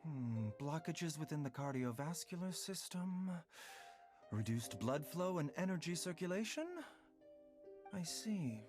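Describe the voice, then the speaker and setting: An actor voicing a calm, courteous, enigmatic doctor in a video game, speaking in a formal, measured way. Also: male voice, on the high side, over music. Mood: composed.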